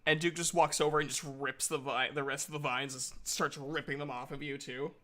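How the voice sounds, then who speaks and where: A man's voice: on the high side, steady tone, brisk, pushed and bright. A man improvising casual narration as the game master of a tabletop roleplaying game, in an informal way.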